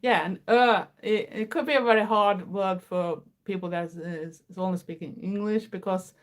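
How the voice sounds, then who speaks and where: A Swedish woman in her fifties chats with viewers in English. Her Swedish accent is clear, and her voice sits at an everyday middle pitch. She talks earnestly and unhurriedly, with small slips in her grammar. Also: moderate pace. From Sweden. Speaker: livestream host. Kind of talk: casual chat.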